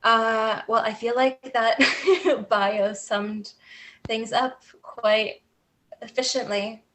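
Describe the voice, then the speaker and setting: A woman guest on an interview, answering in a thoughtful, wry, soft-spoken way. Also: on the high side, fairly even intonation, slow. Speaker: guest author.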